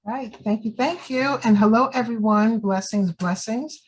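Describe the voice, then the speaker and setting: A woman's voice: fairly high pitch, measured pace, husky, rough recording. New York woman reading her poetry. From Queens, New York. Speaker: poet.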